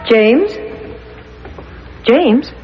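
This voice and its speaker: An anxious, middle-aged American wife in old radio drama style. She is earnest and tender, with wide swings in pitch. The broadcast sound is dull and slightly noisy. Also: very animated, drawn-out words, full character voice. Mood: tender, urgent. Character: anxious, devoted wife tenderly soothing her accused husband.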